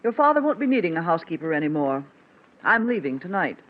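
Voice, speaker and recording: A fed-up, scolding housekeeper speaks sharply with lively, swinging intonation. It is 1940s radio acting on a muffled old recording. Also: female voice, lowish voice, fast. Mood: exasperated. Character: stern, fed-up female housekeeper scolding a child.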